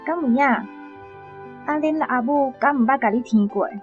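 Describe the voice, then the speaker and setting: An actress with a Taiwanese accent playing a gentle, sorrowful young woman in a radio drama, soft-hearted and unhurried. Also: high voice, highs cut off, close to an everyday voice. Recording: music behind the voice.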